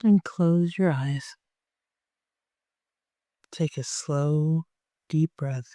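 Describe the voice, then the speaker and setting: Gentle, slow meditation guide with a mellow voice. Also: medium pitch, no room sound. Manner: gentle, unhurried, softly spoken with long pauses.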